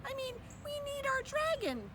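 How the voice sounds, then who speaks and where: A woman voicing a tiny, excited, tongue-tied creature in a storybook reading, screaming its words. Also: very high-pitched, measured pace, voice pushed up, full character voice. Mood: panicked, excited. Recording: distant mic with clear background noise.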